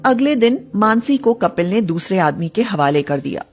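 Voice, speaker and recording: A woman's voice: medium pitch, very animated, normal speed, darkish tone, faint music behind. A woman telling a scripted story as its narrator.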